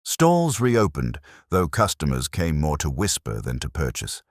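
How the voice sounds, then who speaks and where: A man reading a scripted mystery story in a measured, wry, literary manner. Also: extremely low pitch, wildly swooping pitch, no echo, studio quality. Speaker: narrator. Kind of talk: scripted mystery audiobook narration.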